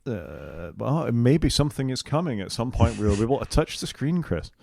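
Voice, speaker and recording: A man's voice: medium pitch, very animated, normal speed, gravelly, darkish tone, no echo, studio quality. A British man co-hosting a casual tech podcast, working through a list with wry, opinionated asides. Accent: British English. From United Kingdom. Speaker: podcast co-host.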